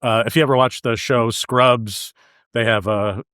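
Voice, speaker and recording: Middle-aged male co-host, medium pitch, tentative casual music talk, dry recording. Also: steady tone, unhurried, fairly bright tone, dry recording. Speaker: podcast co-host. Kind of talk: casual chat about music.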